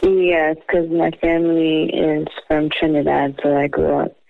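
A woman's voice: sits low, flat delivery, measured pace, vocal fry, dark tone, dry recording, low-quality recording. Upbeat American woman on a dry line. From United States. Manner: upbeat, casual, self-assured. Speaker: singer, guest on a phone call. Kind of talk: phone interview. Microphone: phone.

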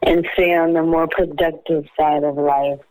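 A woman's voice: sits low, little pitch movement, measured pace, darkish tone, dry recording, low-quality recording. Upbeat American woman on a dry line. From United States. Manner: upbeat, casual, self-assured. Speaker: singer, guest on a phone call. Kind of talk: phone interview. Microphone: phone.

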